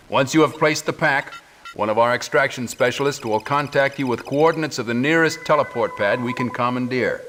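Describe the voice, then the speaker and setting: An actor playing a stern, middle-aged military commander giving a formal, deliberate mission briefing in a game. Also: male voice, mid-range voice, a bit bright, slight background noise, close to an everyday voice. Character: stern, measured male resistance commander briefing a recruit.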